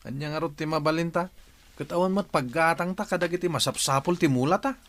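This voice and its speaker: An actor playing a modest young farm worker in an Ilocano radio drama, with an Ilocano accent. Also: male voice, a little high, fairly quick, a bit bright, natural voice. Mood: earnest. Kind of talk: Filipino radio drama acting.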